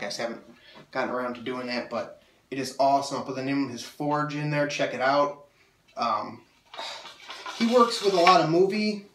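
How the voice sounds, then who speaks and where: A man's voice: mid-range voice, fairly bright tone. Nasal young man, echoey small room. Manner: enthusiastic, rambling, many fillers and restarts. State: slightly nasal, coming off a cold. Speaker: sword collector reviewing blades. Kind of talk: casual video review. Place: small room full of swords, indoors. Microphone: camera mic from several feet away. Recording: roomy echo, mic at a distance.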